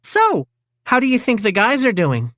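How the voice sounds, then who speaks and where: An eager, jittery teenage turtle boy from a children's storybook , voiced by a woman. He speaks high and excitably, with swooping pitch and a very dry sound. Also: moderate pace, no room sound. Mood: nervous. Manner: excitable, exclaiming.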